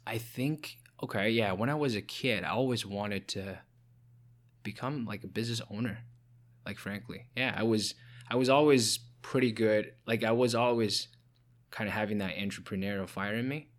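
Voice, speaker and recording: A man's voice: on the low side, normal speed. A young man from China, a podcast guest and founder, chatting casually with many fillers and restarts. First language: Chinese. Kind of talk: casual interview chat.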